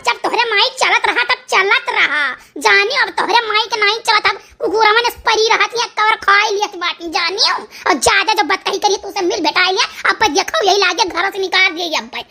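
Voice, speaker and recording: A performer voicing a sharp-tongued village woman in an Awadhi-accented cartoon comedy, emphatic and given to repeating phrases. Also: very high-pitched, moderate pace, vocal fry, full character voice. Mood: angry. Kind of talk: cartoon comedy dialogue in rural dialect.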